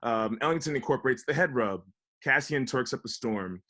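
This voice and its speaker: A man's voice: medium pitch, lively intonation, a little fast, sharp tone. A man narrating scripted stage directions for a table read. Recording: online video call table read.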